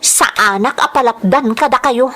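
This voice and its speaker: Woman acting headstrong young Daniela, high-voiced and emotive. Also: moderate pace, fairly bright tone. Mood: hurt, resentful. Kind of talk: Ilocano audio drama, dialogue and confessional narration.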